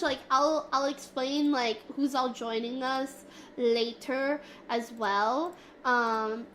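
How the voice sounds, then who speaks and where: A woman's voice: high voice, slight background noise. Nasal, giggly woman streamer. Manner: playful, giggly, chatty. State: stuffy from a cold. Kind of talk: casual livestream chat with viewers. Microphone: desk mic, moving closer and farther.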